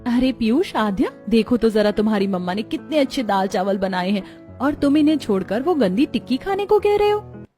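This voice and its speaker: A woman's voice: a little high, big pitch swings, normal speed, dark tone, faint music behind. A woman voicing a worried, caring woman of a poor household in a melodramatic children's cartoon, earnest and plaintive. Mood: coaxing.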